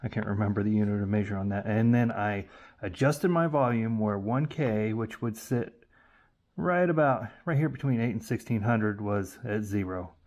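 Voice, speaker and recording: A man's voice: fairly low pitch, moderate pace, darkish tone. A man hosting a casual hands-on tutorial, explaining informally and thinking aloud, often correcting himself as he goes. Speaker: YouTube host demonstrating vintage audio testing.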